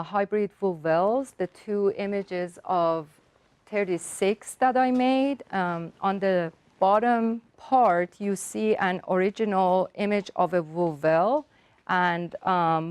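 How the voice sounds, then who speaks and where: A woman whose first language is Persian, an artist calmly explaining her work and technique step by step, often pausing with ums and uhs. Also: medium pitch, clean tone, no echo. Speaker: artist giving a demonstration.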